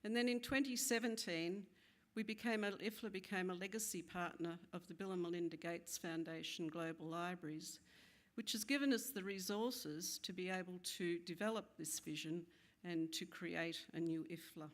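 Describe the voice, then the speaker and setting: A woman's voice: on the low side, steady tone, moderate pace. A woman introducing the keynote speakers at a conference, informal, with some fillers.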